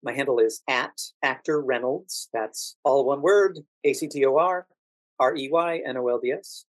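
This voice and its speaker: An American man with a calm, quiet, measured way of talking, speaking conversationally as a podcast guest. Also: medium pitch, no echo, low-quality recording. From Washington DC, United States. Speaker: podcast guest, actor and standardized patient. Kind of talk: conversational storytelling interview.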